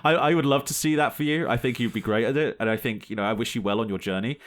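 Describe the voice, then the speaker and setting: A man's voice: medium pitch, fast. A man co-hosting a casual podcast chat, rambling and joking with frequent laughs. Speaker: podcast co-host.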